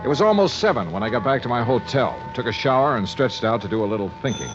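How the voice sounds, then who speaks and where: A man's voice: mid-range voice, lively intonation, quick pace, music in the background, lightly acted. An American man playing a shrewd, wry private investigator in clipped 1950s radio drama style. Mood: wry narration. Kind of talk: 1950s radio drama acting and first-person narration. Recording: dull vintage 1950s radio recording.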